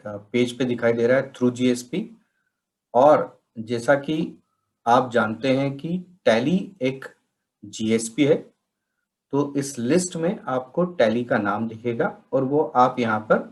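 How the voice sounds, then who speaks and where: Slow-paced man giving methodical instruction. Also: medium pitch. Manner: methodical, step-by-step explaining. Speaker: software trainer presenting a tutorial. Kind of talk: instructional slide narration.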